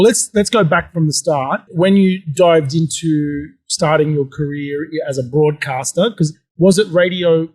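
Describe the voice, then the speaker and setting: A man hosting an interview podcast, asking questions in a halting way with frequent restarts. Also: on the high side. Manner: halting, many restarts and repeated words. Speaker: podcast host, broadcaster.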